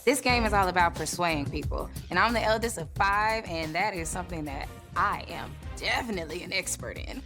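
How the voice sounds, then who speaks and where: A woman's voice: fairly low pitch, brisk, hardly any pauses. A young woman, introducing herself proudly as a game show contestant. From Dallas, Texas. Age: 20s. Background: background music.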